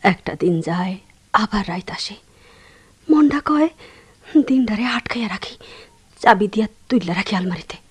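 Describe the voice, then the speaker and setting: An actress playing a sorrowful, brooding village wife with a rural Bangladeshi accent in a radio drama monologue, halting and breathy, drifting into whispers. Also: female voice, medium pitch, lively intonation. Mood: wistful, brooding.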